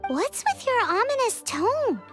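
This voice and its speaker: A woman's voice: extremely high pitch, big pitch swings, a little slow, exaggerated voice. A woman voicing a tiny, childlike, cheeky fairy companion in a video game. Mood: cheeky, suspicious. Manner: refers to herself in third person, exclaiming. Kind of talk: video game character acting. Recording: game music with tinkling jingles behind.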